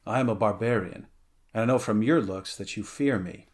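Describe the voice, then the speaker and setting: A man's voice: fairly low pitch, moderate pace, natural voice. A man voicing a powerful, vengeful barbarian swordsman in a dramatic story reading, in a ranting, cursing manner. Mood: gruff, curt. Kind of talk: dramatic audiobook character reading.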